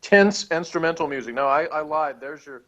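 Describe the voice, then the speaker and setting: A man's voice: a little high, moderate pace, a bit bright, muffled recording. A man chatting casually and offhandedly as a co-host of a review show, in a dry manner. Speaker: podcast co-host reviewing a TV episode. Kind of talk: casual chat.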